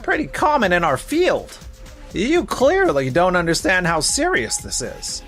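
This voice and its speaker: Stern high-pitched manager, acted by a man. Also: huge pitch swings, a little slow, a bit bright, soft background music, exaggerated voice. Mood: reproachful. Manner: stern, probing, reproachful. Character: stern, exacting corporate manager confronting a subordinate. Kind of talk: scripted manga dub voice acting.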